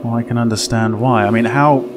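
A man's voice: mid-range voice, steady tone, a little fast, heavy background noise. A man in his thirties giving casual let's play commentary with wry, reactive asides, as host of a gaming video. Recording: loud game music behind. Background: game music.

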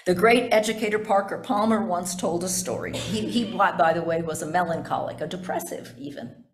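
An older woman pastor delivering a sermon from notes in deliberate, measured phrases, with asides to her congregation. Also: on the low side, crowd noise. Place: indoors in a church sanctuary at a pulpit. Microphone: gooseneck pulpit microphone. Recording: echoey church sanctuary.

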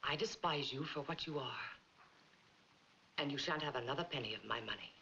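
A middle-aged actress playing a wealthy, proud, bitter wife in a 1940s film noir, with crisp, formal diction. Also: female voice, rumbling low, brisk, pushed and bright, slight background noise, exaggerated voice. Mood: cold contempt. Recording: dull 1940s film soundtrack.

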